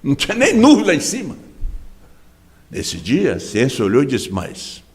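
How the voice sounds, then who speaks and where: A man preaching a sermon as pastor in a Brazilian Portuguese accent, impassioned, swinging from hushed drops to sudden shouts. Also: mid-range voice, wildly swooping pitch, normal speed, long reverb tail. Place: large church hall.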